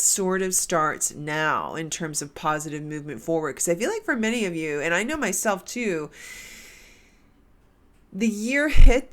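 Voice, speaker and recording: Low-voiced woman stuffed up with a cold. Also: a bit bright. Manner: meandering, with many restarts and fillers. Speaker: tarot card reader. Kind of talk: casual spiritual card reading.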